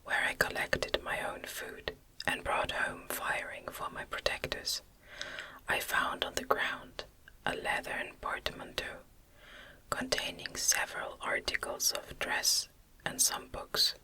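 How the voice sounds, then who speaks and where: A woman reading a gothic novel as ASMR, in a gentle, breathy, unvoiced whisper with a measured delivery. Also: low-quality recording. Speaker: audiobook narrator.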